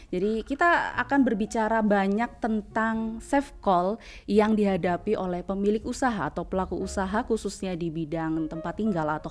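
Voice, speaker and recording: A woman hosting a podcast, chatty, with many fillers. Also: mid-range voice. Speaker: podcast host, lecturer.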